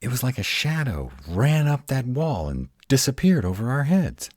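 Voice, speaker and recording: A man playing a grumpy, protective were-dragon in a roleplay audio, gruff and exasperated, rattled but defiant. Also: mid-range voice, very animated, a little mellow, dry recording. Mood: rattled, uneasy. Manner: gruff, exasperated, halting one-sided dialogue.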